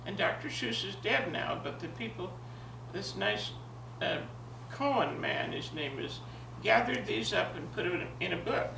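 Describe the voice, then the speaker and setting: A woman of ninety, a grandmother with a jovial, expressive manner, talking and telling stories to her family. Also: mid-range voice, measured pace, creaky. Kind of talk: casual reminiscing and animated story reading for family. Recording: echoey, distant home recording with faint noise.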